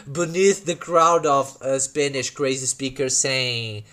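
A man, co-hosting a casual podcast, talking in halting bursts full of fillers and restarts. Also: mid-range voice. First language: Portuguese. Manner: halting, full of fillers and restarts, lively when telling a story. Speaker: podcast co-host. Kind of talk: casual chat, storytelling.

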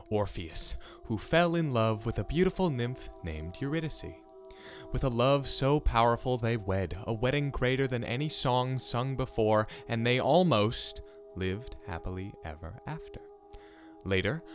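A man playing an intense mythology professor in an audio drama, telling stories with deliberate, weighted phrasing. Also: lowish voice. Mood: measured storytelling. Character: mythology professor, grave storyteller turning frantic and obsessive. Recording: staged old classroom recording, music underneath.

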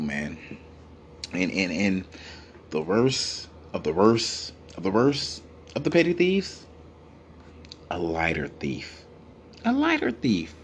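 A man with a naturally deep, low-pitched voice rants to camera in a comic, rambling way. He repeats himself, swears freely and leans on fillers and restarts. A faint steady hiss sits under his voice. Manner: rambling, repetitive, profane, full of fillers. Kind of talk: comedic rant to camera.

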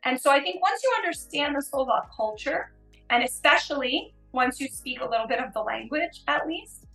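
A woman's voice: high voice, animated, normal speed, fairly bright tone, soft background music. American woman in a roomy, echoing space. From United States. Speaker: podcast guest. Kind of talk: conversational interview answers.

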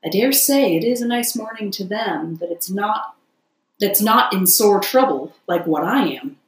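A woman's voice: medium pitch, animated, measured pace, roomy sound, natural voice. A woman voicing a boastful male toad who poses as a humble washerwoman, chatty, wheedling and self-important, for a storybook. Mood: plaintive, ingratiating.

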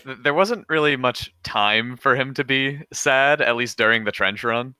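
A man's voice: mid-range voice, moderate pace, pushed and bright, smooth, no room sound. A man co-hosting a casual panel chat about films with friends. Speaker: podcast co-host.

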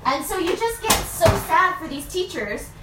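A woman's voice: very high-pitched, sing-song, normal speed, echoey room, very noisy. A woman who runs a business, talking in a casual, rambling monologue full of fillers and "right?" tags. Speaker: business owner.

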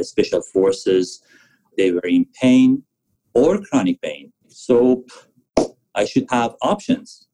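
A man in his forties from Iran, a physician answering interview questions in halting, with frequent restarts. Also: low-pitched, dark tone, rough recording. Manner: halting, restarts often, non-native phrasing. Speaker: physician guest.

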